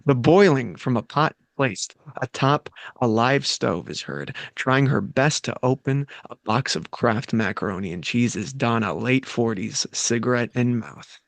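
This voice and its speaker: A man's voice: medium pitch, unhurried, creaky, hardly any pauses, no echo. Joking man hosting, dry close sound. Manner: playful, crude joking. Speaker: host, writer and stage-direction reader of a table read. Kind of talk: casual, joking group chat and script narration.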